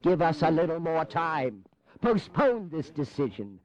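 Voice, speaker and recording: Earnest, highish-voiced cautious delegate. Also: male voice, big pitch swings, a little slow, darkish tone. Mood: earnest, pleading. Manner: formal, pleading oratory. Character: earnest, cautious colonial delegate pleading delay. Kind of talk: dramatized historical audio acting.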